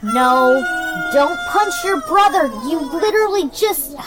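Lady putting on a squeaky worn-out mom shouting at her boys, very high and drawn out. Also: animated, takes it slowly. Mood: exasperated. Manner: yelling, exasperated. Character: frazzled mom herding two young sons.

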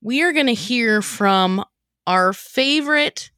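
A woman's voice: a little high, lively intonation, takes it slowly, sharp tone, clear, resonant tone, dry recording, clean studio sound. A woman co-hosting a podcast in a casual group chat, with a wry, joking manner. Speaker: podcast co-host.

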